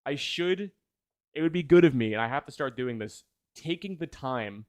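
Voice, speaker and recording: A man talks casually to his livestream viewers in a mid-pitched voice with very little room sound. He is enthused and rambles, often doubling back to fix what he just said. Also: expressive, moderate pace, darkish tone, no room sound, studio quality. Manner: rambling, enthused, self-correcting. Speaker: video essayist, livestream host.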